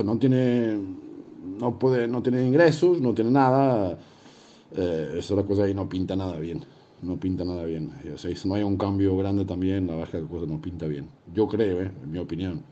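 A man from Portugal with a Portuguese accent, talking casually as an interview guest and repeating phrases for emphasis. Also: warm tone. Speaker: horse racing guest. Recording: muffled low-fidelity link with faint noise.

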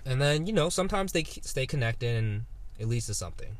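A man's voice: mid-range voice, sing-song, a little fast. A man in his twenties talking casually as a guest, laid-back and rambling with lots of fillers and restarts. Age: 20s. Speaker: guest photographer. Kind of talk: casual podcast chat.

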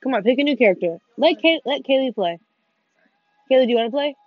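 Swearing, fast-talking teenage girl. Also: sits high, no echo. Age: teens. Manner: fast, swearing, self-deprecating, excitable outbursts. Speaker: podcast co-host. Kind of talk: casual gaming chat with friends. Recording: muffled dull sound, faint music behind.

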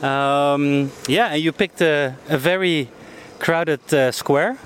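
Male host, slightly high voice, casual and halting, recorded outdoors amid city noise. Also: a bit bright. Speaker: interview host. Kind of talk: casual street interview. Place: outdoors on a bench in a busy city square. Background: traffic and city ambience.

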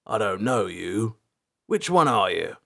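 A man voicing a gruff, disgruntled caretaker in a storybook, muttering his complaints. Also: fairly low pitch, very animated, measured pace, sharp tone, full character voice. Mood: suspicious. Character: gruff, grumbling male working caretaker.

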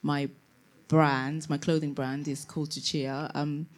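A woman's voice: low voice, level tone, unhurried. A woman from Tanzania, a fashion designer, giving a live presentation about her brand to an audience, speaking hesitantly with many fillers and restarts.

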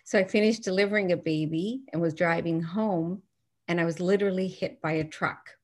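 A woman's voice: lowish voice, brisk, a little mellow. A middle-aged woman from Newfoundland, a physician and author, talking earnestly and reflectively as a guest in an interview, with frequent restarts. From Newfoundland, Canada. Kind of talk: interview conversation.

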